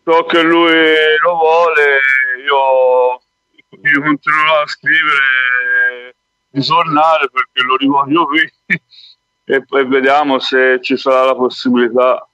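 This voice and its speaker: A man's voice: medium pitch, steady tone, a little slow, pushed and bright, no echo, highs cut off. A man calling in to a radio show, talking in an easygoing, chatty way. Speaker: caller. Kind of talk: casual radio chat.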